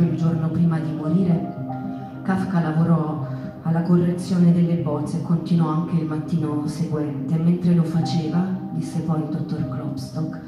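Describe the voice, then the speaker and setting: A woman giving scripted theatrical narration in a measured, literary storytelling manner. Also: deep voice, dull audio. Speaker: narrator and stage performer. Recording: reverberant hall, slightly distant, music behind. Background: music.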